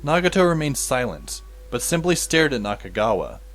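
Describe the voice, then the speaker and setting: A man playing a wry, weary teenage schoolboy who narrates an audio drama in a dry, deadpan way. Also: medium pitch, moderate pace, soft background music. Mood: wry, pensive. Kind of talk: scripted first-person audio drama narration.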